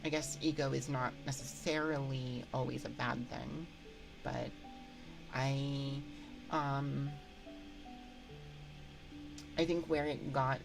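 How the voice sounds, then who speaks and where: Very low-voiced woman, creaky, halting. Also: steady tone, over music, rough recording. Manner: hesitant, halting, thinking aloud. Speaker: livestream host. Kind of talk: casual livestream chat, answering viewer comments. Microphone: close binaural microphone.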